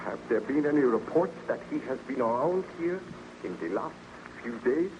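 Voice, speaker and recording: A haunted young nobleman, played by a man in a vintage radio play, anxious and halting. His delivery swings widely in pitch with melodramatic urgency. The old recording is muffled and thick with noise. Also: lively intonation, natural voice. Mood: anxious, urgent. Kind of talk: old-time radio drama acting.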